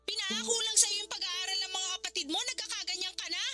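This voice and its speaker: An extremely high-pitched young Filipino woman in a radio drama, with music clearly behind her. She is earnest and emotional, pleading for her family and scolding with reproach. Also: fairly even intonation, fast, sharp tone, exaggerated voice. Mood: angry, reproachful. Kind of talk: Tagalog radio drama acting.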